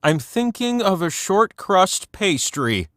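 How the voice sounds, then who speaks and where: A man voicing a whimsical, all-knowing talking wheel that hosts a trivia video game, theatrical and playful, with drawn-out emphasis. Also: high voice, big pitch swings, a bit bright, no echo, studio quality, full character voice. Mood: playful, grand. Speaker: game show host. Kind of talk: scripted trivia game hosting.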